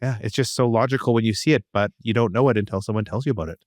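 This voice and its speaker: A man's voice: lowish voice, very fast, no echo, clean studio sound. A man hosting a podcast, asking questions in a casual, conversational way. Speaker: podcast host, interviewer.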